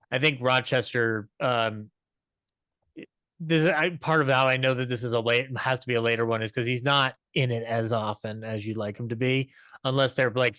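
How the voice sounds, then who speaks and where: Guy on a podcast reviewing old comedy, bright forward voice, stumbles and repeats, into it, dry sound. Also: mid-range voice, dry recording. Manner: halting, repetitive, enthusiastic. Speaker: podcast panelist discussing classic comedy episodes. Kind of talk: casual discussion, off-the-cuff review.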